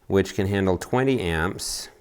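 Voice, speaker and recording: Low-voiced man, slow and clear. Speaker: amateur radio hobbyist presenting a video update. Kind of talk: casual explanatory vlog talk. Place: outdoors on a house deck.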